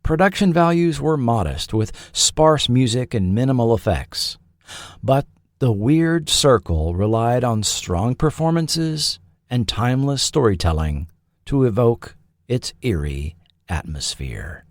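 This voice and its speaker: A man narrating a scripted documentary in a deliberate, dramatic storytelling manner. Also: mid-range voice, very animated, dry recording, clean studio sound. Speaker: host and narrator.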